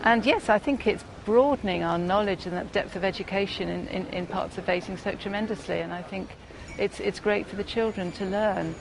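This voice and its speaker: A woman's voice: mid-range voice, a little fast, vocal fry, background noise. A woman speaking enthusiastically in a documentary interview, often repeating words as she goes.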